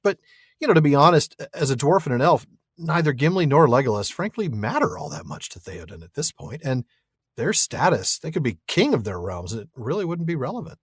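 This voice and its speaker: A man's voice: on the high side, very animated, a little fast, husky, no room sound, studio quality. A man co-hosting a podcast, reading listener mail and reasoning aloud in an easygoing way, chuckling often. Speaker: podcast co-host.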